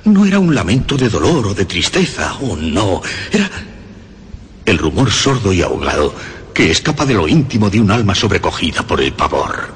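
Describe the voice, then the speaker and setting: Crazed sly murderer for radio horror play, really deep brooding voice, big reverb and a little background noise. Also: male voice, very animated. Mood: morbid, brooding. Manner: theatrical, brooding, dramatic pauses and sudden swells. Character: deranged, cunning murderer confessing with morbid pride. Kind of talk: radio horror drama monologue.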